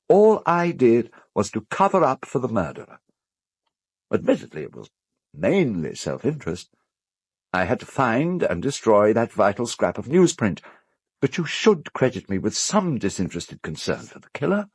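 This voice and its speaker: An actor with a British accent playing an urbane, cold, self-justifying gentleman in an audio drama, speaking in a measured, articulate, dryly ironic way. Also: mid-range voice, huge pitch swings, breathy edge, no room sound, studio quality, heavily acted. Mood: smooth, self-justifying.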